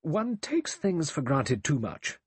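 A man acts out a righteous old English spinster for an audiobook. The voice is raised and husky, with animated swings of pitch, and the delivery is precise, brisk, dry and sanctimonious. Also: no room sound, heavily acted. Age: older.

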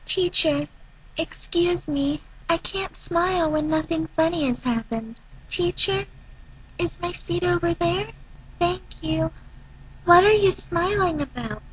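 A woman's voice: very high-pitched, slow pace, pitched above the performer's own voice, rough recording. A woman voicing a composed, polite, reserved schoolgirl for an anime fan dub audition.

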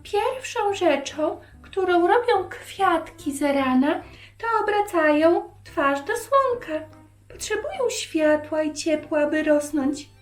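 A woman voicing a kindly old grandmother who gently teaches her granddaughter in a children's story. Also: very high voice, animated, slow, pitched above the performer's own voice, full character voice. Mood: cheerful teaching. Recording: roomy echo, music behind.

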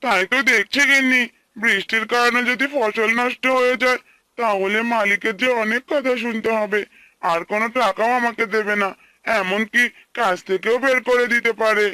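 A man's voice: very high-pitched, steady tone, moderate pace, pushed and bright, smooth, dry recording, full character voice. A man voicing an earnest, plaintive, humble farmer and worried husband in a cartoon. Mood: worried, pleading. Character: anxious humble young farmer and husband, pleading. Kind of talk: Bengali cartoon dialogue dubbing.

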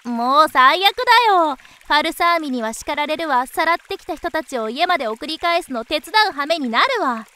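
A high, bright young beast-woman played by a woman in a voice drama. She is tomboyish and cheeky, grumbling in a lively, blunt and casual way. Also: normal speed, few pauses. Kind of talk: voice drama acting.

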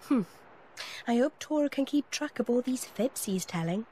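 A woman's voice: a little high, animated, normal speed, warm tone, slight background noise, natural voice. A woman playing a wry, sensible young girl companion in a video game. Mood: skeptical. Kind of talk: video game character acting.

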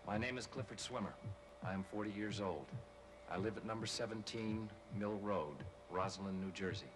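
A man's voice: lowish voice, moderate pace, lightly acted. An American man acting a bitter, sardonic, weary suburban husband of forty in a 1970s television drama. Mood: flat, droning. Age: forties. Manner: sarcastic, weary. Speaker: actor in a television film. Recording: noisy old TV film soundtrack.

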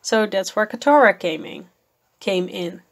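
Slow-talking woman losing her voice. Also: mid-range voice, lively intonation, creaky. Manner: slow, thoughtful, with fillers and small laughs. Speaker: art channel host. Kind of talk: voice-over commentary on a painting video.

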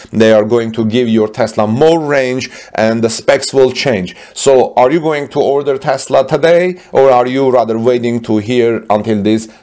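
A man's voice: medium pitch, darkish tone. Guy doing car news narration for his channel, midrange voice, earnest, talks right to the viewers. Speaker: host of an automotive news channel. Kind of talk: semi-scripted news narration addressed to viewers.

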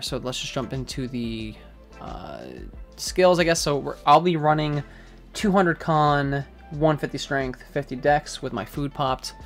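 A man giving a relaxed, casual walkthrough of a game over gameplay, with frequent fillers. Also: mid-range voice. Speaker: gaming guide creator.

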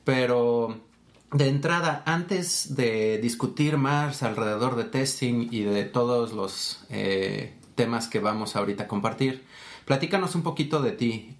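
A man's voice: mid-range voice, unhurried. A man hosting a casual podcast interview, asking friendly, curious questions. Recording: roomy conference venue.

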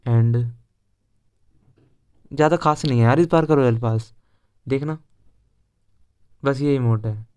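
A man's voice: medium pitch, slow pace, pauses often, a little mellow, clean tone. A young man with an Indian accent giving casual, chatty game walkthrough commentary as a video host. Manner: informal, chatty, mixes Hindi with English words.

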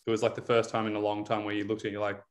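A man in his thirties talks through a video call as coach and interviewer. His voice sits on the low side, and he laughs easily and fills pauses with ums and likes. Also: completely flat delivery. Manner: chatty, laughs often, frequent fillers. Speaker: interviewer, recovery program coach. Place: indoor room.

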